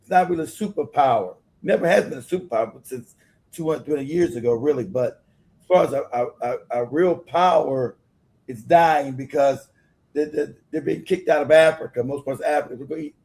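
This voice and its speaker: Guy guest on a talk stream, mellow low-ish voice, keeps stumbling and repeating. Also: vocal fry. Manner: halting, repeats words, heated. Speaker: guest commentator. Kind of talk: casual commentary.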